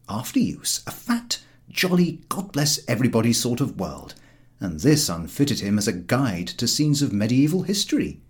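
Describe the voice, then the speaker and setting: A man reading a humorous story as an audiobook narrator, in a wry, expressive storytelling manner. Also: medium pitch.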